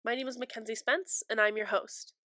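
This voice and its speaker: A woman's voice: a little high, fairly even intonation, fairly quick, sharp tone, no room sound. A young adult woman hosting a true crime podcast, stuffy from a cold, chatty and apologetic with many fillers, on a close mic. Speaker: podcast host.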